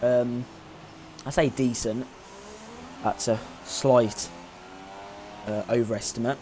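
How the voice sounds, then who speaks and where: A man in the commentary seat of an online racing league, with a voice of middling pitch. He chats loosely and hesitantly, stopping to correct himself. A faint hiss of noise sits under his voice. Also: steady tone, takes it slowly, darkish tone. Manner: hesitant, filler-heavy, self-correcting. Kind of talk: live race commentary chat.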